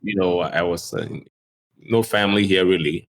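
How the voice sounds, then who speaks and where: A middle-aged man from Ghana speaks in a very deep, low voice. He answers interview questions in a relaxed way, with plenty of "um" and "you know" and the odd chuckle. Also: moderate pace, poor audio. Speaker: neurosurgeon guest.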